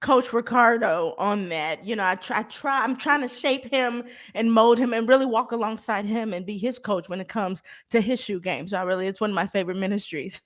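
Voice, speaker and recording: A woman who founded a ministry, speaking as a guest in a casual conversation, bubbly and excited. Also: mid-range voice, brisk, hardly any pauses.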